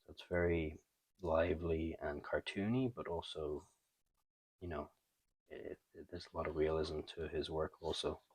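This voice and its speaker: Very deep-voiced man, low-key and monotone. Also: moderate pace, slightly rough, no echo, low-quality recording. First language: not French. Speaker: host of a comic book review show. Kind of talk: casual unscripted show-and-tell commentary.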